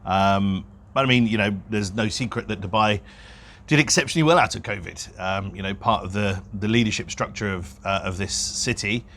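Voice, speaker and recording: A man's voice: lowish voice, normal speed, a bit bright, a little hiss or hum. A man co-hosting a property podcast in casual, unscripted chat, talking in a rambling, self-deprecating way. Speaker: podcast co-host, real estate broker.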